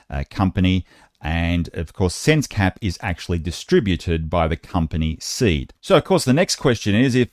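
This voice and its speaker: A man with an Australian accent and a low-pitched voice presents an online update. He speaks conversationally, addressing his viewers directly as he explains things. The sound is dry and close, with very little room in it. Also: no echo. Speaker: YouTube channel host and presenter. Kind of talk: informal explanatory video presentation.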